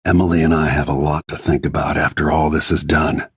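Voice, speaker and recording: A grim middle-aged assassin from a video game, with a very low voice full of gravel and heavy rasp. He is weary and restrained, and delivers terse, dramatic remarks. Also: level tone, fairly quick, dry recording, studio quality, heavily acted. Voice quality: gravelly, husky. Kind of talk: scripted game voice lines, short dramatic remarks.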